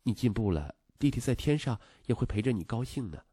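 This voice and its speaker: A caring stepmother from an audio story, played by a male narrator. She is gentle and consoling, with grief and resolve underneath. The voice is husky and sits in a dry, close recording. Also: medium pitch, expressive, brisk, no echo. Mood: gentle encouraging.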